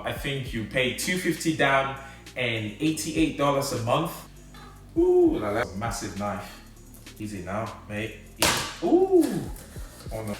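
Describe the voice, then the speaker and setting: A man vlogging casually, chatty and excitable as he unboxes something and thinks aloud. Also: on the low side. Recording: echoey room, mic at a distance.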